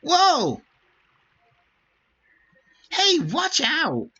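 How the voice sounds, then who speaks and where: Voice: sits high, wildly swooping pitch, drawn-out words, pushed and bright, voice pushed up, exaggerated voice. A streamer voicing a bubbly, defiant winged teenage girl from a fantasy game, chirpy and exclamatory, on dull stream audio. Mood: bright, excited. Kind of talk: dramatic read-aloud of game dialogue.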